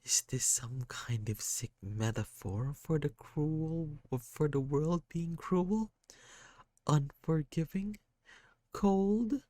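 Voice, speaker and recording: A sad, quick-tempered kid, as a man puts the voice on for a storybook. The voice sits well above a grown man's and comes out strained and close to tears. It is slow and dry. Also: medium pitch, animated, darkish tone, no room sound, pitched above the performer's own voice. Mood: bleak. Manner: raised, strained and tearful.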